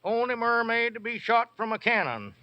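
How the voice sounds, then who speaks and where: An actor playing a pompous, smooth-talking small-town squire and swindler in an old-time radio comedy, wheedling and wordy. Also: male voice, very high voice, big pitch swings, normal speed. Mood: grand salesmanship. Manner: wheedling, wordy, grandiose showman's patter. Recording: muffled old radio recording with hiss.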